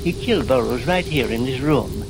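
An old American ghost speaks in a gravelly, hesitant voice, grim and frail, in 1940s radio style. The old recording is clearly noisy, with rain behind it. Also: male voice, mid-range voice, lively intonation. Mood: grimly confiding. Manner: hesitant, halting. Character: frail old man, secretly a ghost, grimly recounting murders.

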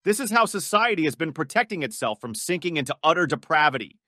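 A man's voice: a little high, big pitch swings, fast talker, bright, forceful tone, no room sound. A man reading scripted opinion commentary in a scornful, sarcastic way, close-miked as a voice-over. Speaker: video commentator.

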